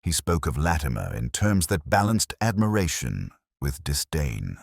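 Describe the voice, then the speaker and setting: An extremely low-pitched man narrates detective fiction in the first person. His delivery is measured and brooding, with lively rises and falls in pitch. The recording is dry and close, with very little room sound. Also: gravelly, dry recording.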